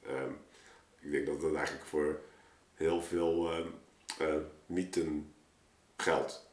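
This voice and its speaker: A man's voice: extremely low pitch, steady tone, takes it slowly, darkish tone. A man telling stories casually as a podcast guest, rambling and colloquial as he thinks aloud. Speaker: podcast guest, audio drama maker. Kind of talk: casual chat, informal storytelling. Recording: roomy, echoey space.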